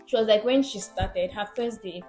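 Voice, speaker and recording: A woman's voice: medium pitch, moderate pace, soft background music. A young woman with a Nigerian accent hosting a casual chat and telling stories expressively. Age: young adult. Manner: animated, expressive. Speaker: podcast host. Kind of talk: casual chat, personal anecdotes.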